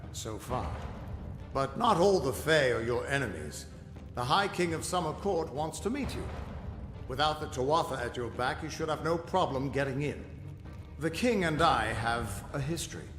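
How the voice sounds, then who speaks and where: A man's voice: mid-range voice, roomy sound, lightly acted. A man playing a middle-aged, boastful but loyal veteran adventurer in a fantasy game, with a theatrical, earnest delivery. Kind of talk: fantasy game dialogue acting.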